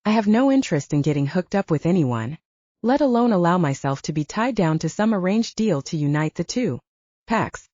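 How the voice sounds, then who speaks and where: A woman's voice: fairly low pitch, lively intonation, normal speed, dark tone, no echo, dull audio. A woman narrator voicing a cheeky, blunt younger male werewolf in an audiobook. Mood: defiant.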